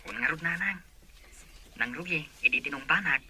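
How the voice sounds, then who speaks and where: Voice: medium pitch, lively intonation, moderate pace, bright, forceful tone, slight background noise. An actor playing a grown child who confides in family and friends in an Ilocano audio drama. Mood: casual, frank.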